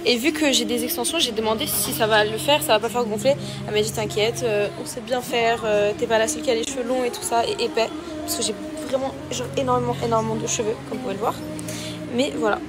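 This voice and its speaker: A woman's voice: on the high side, steady tone, fast, music in the background. A young woman chatting to her followers in a casual vlog, gushing and repeating herself, filming herself on a phone out on city streets and then inside a salon. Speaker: vlogger. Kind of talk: casual vlog chat to camera. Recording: clear background noise.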